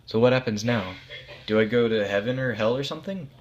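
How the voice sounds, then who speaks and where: A man's voice: medium pitch, brisk, muffled recording, a little hiss or hum, natural voice. A bewildered middle-aged dead man in a storybook tale acted by a male reader. He sounds puzzled and questioning, in a mid-range male voice. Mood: puzzled, worried.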